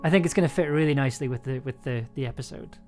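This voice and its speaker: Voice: mid-range voice, racing, a little mellow. Streamer thinking aloud over music. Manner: hesitant, thinking aloud, trailing off. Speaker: streamer, audio drama producer and composer. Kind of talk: casual livestream chat while working. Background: music playing, keyboard typing.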